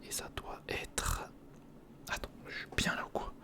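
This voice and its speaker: A man whispering in a breathy, unvoiced ASMR style, giving informal and slightly hesitant build-along commentary close to the microphone. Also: a little slow. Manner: hesitant, informal, muttering to the listener. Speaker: ASMR video host. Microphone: close recorder microphone.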